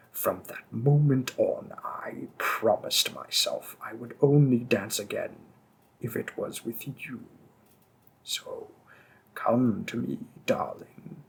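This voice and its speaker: A man voicing a possessive, seductive male demon king in an audio roleplay, speaking softly and often in a whisper, slow and teasing. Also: mid-range voice, gravelly, slightly rough, full character voice, low-quality recording.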